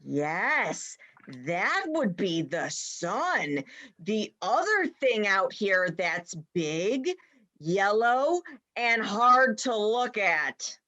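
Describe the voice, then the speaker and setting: A woman's voice: medium pitch, big pitch swings, extremely slow, sharp tone, exaggerated voice. A woman playing an irritable, sarcastic rabbit in a dramatized play reading, with a mocking way of talking. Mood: sardonic.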